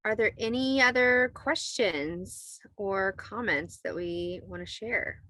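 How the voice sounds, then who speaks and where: A woman's voice: medium pitch, takes it slowly, pushed and bright, no echo, low-quality recording. A woman leading an online committee meeting, talking in a semi-formal, conversational way as facilitator. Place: video call.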